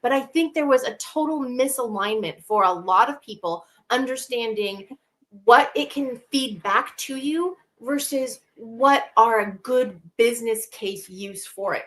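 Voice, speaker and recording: A woman's voice: a little high, a bit bright. Enthusiastic woman explaining as a guest expert. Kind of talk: conversational interview answers with anecdotes.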